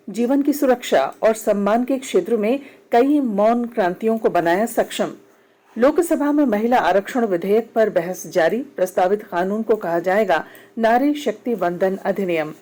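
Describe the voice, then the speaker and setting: Mellow-voiced Indian woman reading radio news. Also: mid-range voice. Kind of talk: formal scripted news bulletin.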